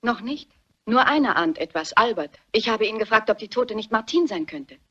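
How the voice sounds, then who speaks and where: An actress playing an anxious, secretive daughter in a German radio murder mystery, earnest and dramatic. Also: female voice, fairly high pitch, animated, moderate pace, fairly bright tone, breathy edge. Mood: agitated. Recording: muffled, dull radio play recording.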